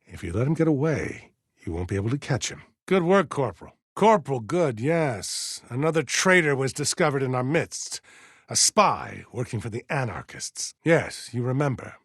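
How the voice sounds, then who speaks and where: An actor playing a stern, pious, authoritarian militia colonel in a video game, middle-aged and husky, giving measured orders with righteous solemnity. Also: male voice, medium pitch, big pitch swings, fairly bright tone, no room sound, studio quality. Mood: stern, commanding. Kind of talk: dramatic video game voice acting.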